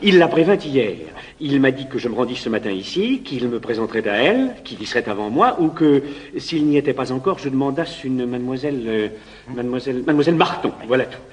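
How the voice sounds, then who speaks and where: A man's voice: mid-range voice, lively intonation, fast, natural voice. Man doing a shy earnest young gent, nervous, stammers a bit. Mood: anxious. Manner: earnest, hesitant, anxious. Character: Dorante, anxious young gentleman in love. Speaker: actor in radio play. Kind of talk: classic stage comedy acting.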